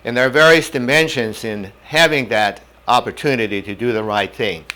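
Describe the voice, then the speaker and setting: A man's voice: medium pitch, pushed and bright, rough recording. Older man giving a plain-spoken lecture. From North Dakota, USA. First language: German. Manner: measured, plain-spoken teaching with homely examples. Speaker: lecturer on medical ethics. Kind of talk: whiteboard lecture. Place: indoor classroom in front of a whiteboard.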